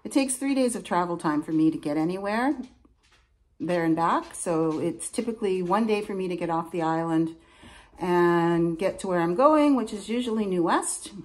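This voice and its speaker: A woman's voice: lowish voice, animated, moderate pace. A middle-aged woman, a lighthouse keeper, chatting casually and rambling a bit to a phone camera at her kitchen table. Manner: chatty, rambling, self-interrupting. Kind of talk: casual vlog chat to camera. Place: home kitchen indoors.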